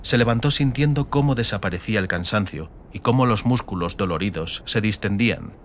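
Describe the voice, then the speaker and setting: A man with a fairly low voice narrates a fantasy audiobook. His delivery is scripted and dramatic, with a slight rumble of noise underneath. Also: lively intonation, a little fast.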